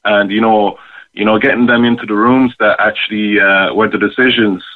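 A man's voice: on the low side, steady tone, normal speed. Earnest man on a tinny phone line. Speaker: interview guest, rapper and youth arts worker. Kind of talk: thoughtful interview talk. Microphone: phone call.

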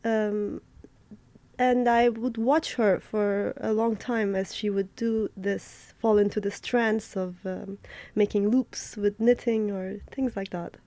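A young woman from Turkey, an artist answering interview questions in a thoughtful, halting way, with many fillers and restarts. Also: medium pitch, fairly even intonation, dark tone, no echo.

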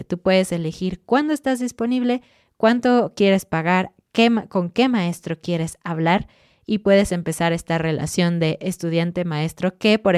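A woman hosting a podcast for Spanish learners, giving clear, encouraging advice with lively asides. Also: lowish voice, dry recording, clean studio sound. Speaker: Spanish teacher and podcast host.

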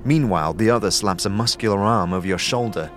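A man reading scripted second-person fantasy narration in a measured, dramatic storytelling manner. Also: fairly low pitch. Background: music.

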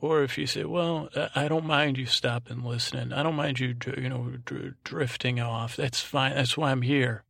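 A man hosting a bedtime podcast, talking in a meandering, halting monologue, often repeating himself and trailing off mid-word. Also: mid-range voice, steady tone, vocal fry, fairly bright tone, no room sound, clean studio sound. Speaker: podcast host. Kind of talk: rambling bedtime monologue to help listeners sleep.

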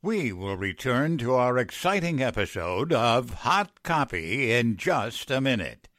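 A male announcer narrates an old-time radio drama in a theatrical way. His delivery is slow and highly varied in pitch, with a dry studio-like sound. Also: big pitch swings, fairly bright tone, dry recording. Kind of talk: scripted 1940s radio narration.

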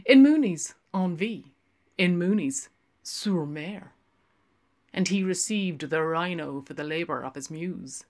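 Woman putting on a flamboyant, boastful man, swooping pitch. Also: animated, slow pace, a little mellow. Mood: showy, boastful. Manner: theatrical, florid, grandiloquent. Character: flamboyant, wheedling male barfly, florid and boastful.

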